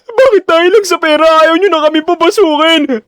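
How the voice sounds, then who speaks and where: A man acting as a desperate teenage boy in an audio drama. The voice is high and tearful, imploring like a poor son with nowhere to turn, recorded very dry. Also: a little fast, a bit bright, dry recording. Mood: tearful pleading.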